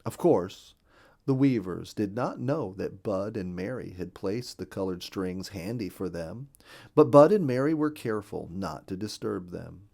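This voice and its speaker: A man narrating a children's storybook in a gentle, expressive reading voice, recorded on a studio microphone. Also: lowish voice, unhurried, gravelly. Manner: gentle, expressive reading with a lot of rise and fall.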